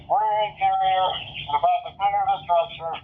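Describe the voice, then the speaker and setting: A man's voice: high-pitched, steady tone, fairly quick. A man working as a firefighter, reporting to command over a two-way radio in clipped procedural phrases. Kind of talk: fire radio traffic. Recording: narrow tinny fireground radio transmission.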